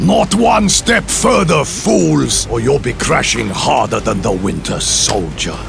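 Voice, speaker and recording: An actor playing a gloating, theatrical supervillain in a video game, with a gravelly voice and a menacing, grandiose delivery. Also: male voice, mid-range voice, lively intonation, takes it slowly, heavily acted. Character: gloating, theatrical male supervillain threatening heroes. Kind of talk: video game cutscene acting. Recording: loud music underneath.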